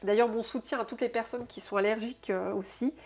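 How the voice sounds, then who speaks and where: A woman with a stuffy, congested nose from a cold, giving an intuitive oracle card reading to her viewers, musing aloud softly and often tagging her sentences with "hein". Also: fairly high pitch, steady tone, husky. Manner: soft, unhurried, musing aloud with frequent "hein". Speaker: soul therapist and oracle card reader.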